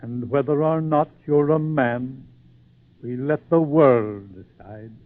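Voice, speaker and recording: A man's voice: medium pitch, slow, dry recording, soft background music, natural voice. An actor playing a kindly, thoughtful old father in an old radio drama. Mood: tender, thoughtful. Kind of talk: 1940s radio drama acting. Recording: tinny phone-like old radio recording.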